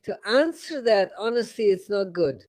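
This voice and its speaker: A man's voice: high-pitched, animated, normal speed, darkish tone, dry recording, clean studio sound. A middle-aged man, a native Russian speaker, hosting a radio talk show and telling a story by acting out both sides of a conversation. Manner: retells scenes acting out both sides of the dialogue. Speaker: radio host.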